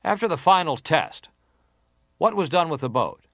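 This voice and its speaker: Probing senator, dryly recorded. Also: male voice, on the high side, animated, fairly bright tone, dry recording, clean studio sound. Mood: insistent, pressing. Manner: deliberate, formal, pressing. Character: probing, formal, insistent senator questioning a witness. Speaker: actor reading an examining senator. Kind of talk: dramatised reading of hearing testimony.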